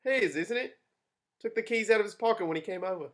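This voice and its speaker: A woman reading the part of a cocky young working-class lad, with a flat, offhand delivery, in an amateur table read over a video call. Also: mid-range voice, quick pace, lightly acted. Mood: defiant.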